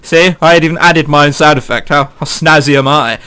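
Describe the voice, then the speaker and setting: A man's voice: a little high, brisk, sharp tone, clear, resonant tone. A man reading a visual novel aloud and breaking off into jokey, theatrical asides, with game music behind him. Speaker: let's play host.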